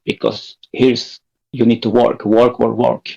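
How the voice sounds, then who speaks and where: A man's voice: mid-range voice, little pitch movement, a little slow, vocal fry, mellow, muffled recording. A man in his thirties from Peru, chatting loosely as a podcast guest, rambling and swearing with easy laughs. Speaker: guest band member. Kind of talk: casual podcast chat.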